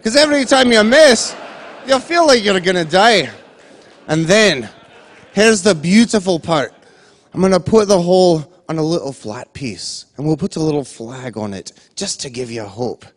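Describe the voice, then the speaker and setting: A man's voice: a little high, moderate pace, close to an everyday voice. A man playing a boastful old Scottish golf legend, telling a rambling comic tale in a Scottish accent. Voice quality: rising, excitable ranting. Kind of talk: comedy sketch storytelling.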